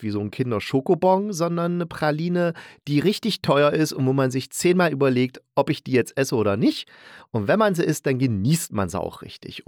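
A man's voice: medium pitch, no room sound, clean studio sound. A man giving a casual, colloquial spoken review as a podcast host, enthusiastic and fond of emphatic repetition.

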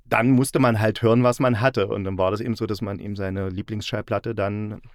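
A man's voice: lowish voice, fairly even intonation, fairly quick, darkish tone, dry recording, studio quality. A middle-aged man from East Germany hosting a casual podcast conversation, often circling back to clarify what he means. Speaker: podcast host.

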